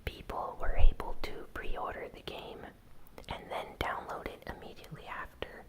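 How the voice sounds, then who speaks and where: Whispered ASMR gaming news host. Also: moderate pace.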